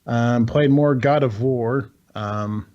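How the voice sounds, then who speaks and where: A man's voice: medium pitch, slow, dark tone, highs cut off. A man chatting casually about video games as a podcast co-host, rambling, swearing freely and sounding incredulous. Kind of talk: casual video game chat.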